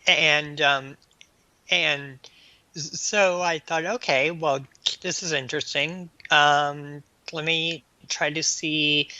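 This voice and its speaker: A man answering as an interview guest in a halting, stammering way, often repeating sounds and words. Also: fairly high pitch, pushed and bright, no room sound, muffled recording. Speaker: guest author and anthology editor.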